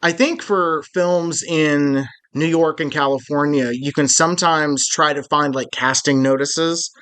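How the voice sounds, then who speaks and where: A man's voice: on the high side, steady tone, measured pace, fairly bright tone, smooth. A man co-hosting a podcast, chatting and thinking aloud with lots of fillers. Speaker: podcast co-host. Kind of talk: casual podcast chat.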